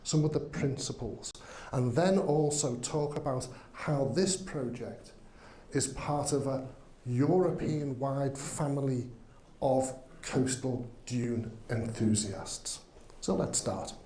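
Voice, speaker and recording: Liverpool-accented male speaker, mellow tone, keen and chatty with fillers, roomy hall. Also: mid-range voice, slow, slight background noise. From England. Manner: enthusiastic, filler-heavy, says um often. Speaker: conference speaker. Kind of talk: conference talk. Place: conference hall.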